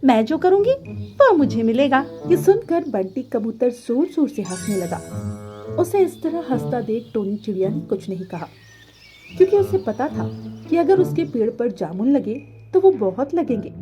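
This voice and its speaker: A woman reading scripted narration for an animated children's story. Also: on the high side, moderate pace, music in the background.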